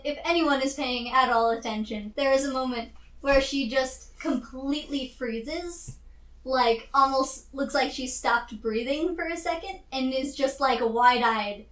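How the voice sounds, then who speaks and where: A woman playing a tabletop role-playing game, narrating casually in an animated, giggly, rambling way. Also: high-pitched, a little slow, reverberant, poor audio.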